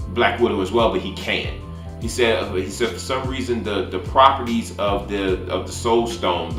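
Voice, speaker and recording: A man's voice: mid-range voice, a bit bright. A man talking casually and emphatically as an online movie reviewer, in informal fan language with some mild swearing. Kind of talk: casual spoiler-filled movie review monologue. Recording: roomy echo with faint music behind. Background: faint music.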